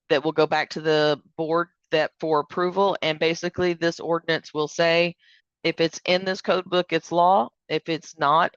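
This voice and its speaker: A woman, hoarse from allergies, explaining a proposal step by step over a video call. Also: low voice, no echo, dull audio. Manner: explanatory, step-by-step, uses fillers. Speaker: code publishing vendor presenting remotely. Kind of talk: remote business presentation.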